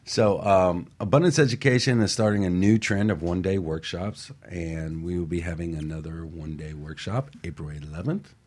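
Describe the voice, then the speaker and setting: A man's voice: low-pitched, normal speed, darkish tone. A man co-hosting a motivational talk show in a casual chat, speaking emphatically like a coach.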